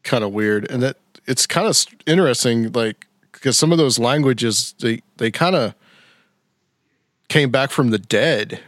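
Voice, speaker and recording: A man hosting a podcast, easygoing and enthusiastic in relaxed chat. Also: medium pitch, unhurried, dry recording, studio quality. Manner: easygoing and enthusiastic, fillers and restarts. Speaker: podcast host.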